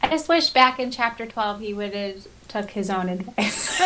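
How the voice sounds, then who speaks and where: A woman's voice: on the high side, animated, takes it slowly, a bit bright. A giggly, enthusiastic woman co-hosting a podcast, chatting casually about fan topics and reader comments. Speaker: podcast co-host. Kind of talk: casual fan discussion, reading listener comments aloud.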